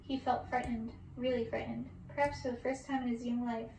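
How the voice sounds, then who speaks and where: A woman's voice: on the high side, flat delivery, a little fast, creaky. A woman reading a story aloud in an ASMR style, as the storyteller. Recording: distant roomy mic with background hiss.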